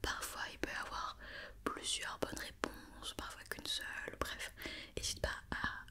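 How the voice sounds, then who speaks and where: A woman whispering softly in an ASMR roleplay as a company doctor, gently reassuring and giving step-by-step instructions. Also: measured pace. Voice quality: soft unvoiced whisper.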